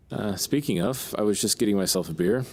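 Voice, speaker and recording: A man's voice: fairly low pitch, brisk, slight background noise, natural voice. A man playing a troubled, sardonic writer in an audio drama, speaking in wordy, mannered, slightly hesitant phrases. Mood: courteous, wry.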